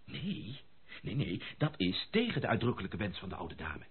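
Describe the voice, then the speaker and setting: Excitable young gentleman, swinging pitch, muffled recording. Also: moderate pace, husky, heavily acted. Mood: agitated, troubled. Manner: effusive, exclamatory, stammering when agitated. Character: excitable young gentleman heir, effusive and dramatic. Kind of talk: radio drama acting. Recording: muffled lo-fi radio play recording.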